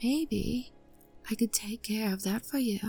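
A woman's voice: fairly high pitch, measured pace, dark tone, faint music behind. A young woman voicing a clingy, obsessively devoted maid in an audio roleplay, pleading and stammering. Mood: soft whispering.

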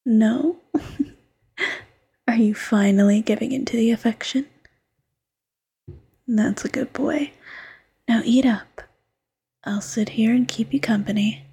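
A woman voicing a doting, teasing fox girlfriend who mothers her partner, in soft coaxing whispered roleplay. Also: a little high, slow pace, gravelly, pauses often, husky, full character voice.

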